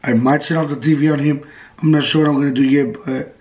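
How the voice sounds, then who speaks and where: A young man with a hoarse, sick voice, vlogging in a bedroom and teasing his cousin. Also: on the high side, fairly even intonation, quick pace, darkish tone, highs cut off. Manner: mocking, goading. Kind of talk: casual vlog, teasing. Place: bedroom at home. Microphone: handheld camera mic.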